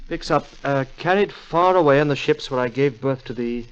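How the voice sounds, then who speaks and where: A man's voice: mid-range voice, normal speed, clear, resonant tone, lightly acted. Actor as earnest young man, dull vintage radio. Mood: puzzled, intense. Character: earnest 25-year-old Englishman, curious heir to a mystery. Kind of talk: 1940s radio drama acting, dialogue and dramatic reading aloud.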